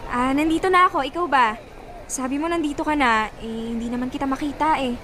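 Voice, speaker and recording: A woman's voice: high-pitched, brisk, noisy background, natural voice. A woman voicing a shy young woman in love who gets flustered and scolds playfully. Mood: bashful. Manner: bashful, laughs at teasing, scolds playfully. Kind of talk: radio drama acting. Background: background music.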